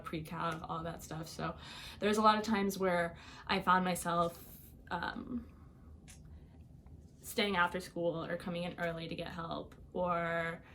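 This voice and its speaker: A young woman shares her life story as a podcast guest, talking casually with many fillers and light laughs. Her voice sits a little low, and a faint ambient hum runs underneath. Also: a bit bright. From Iowa, United States. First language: Albanian. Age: young adult. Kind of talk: personal storytelling.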